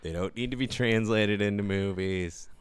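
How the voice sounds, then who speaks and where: A man's voice: fairly low pitch, moderate pace, clear, resonant tone, no room sound. A man speaking casually and conversationally as a podcast co-host. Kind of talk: casual gaming podcast chat.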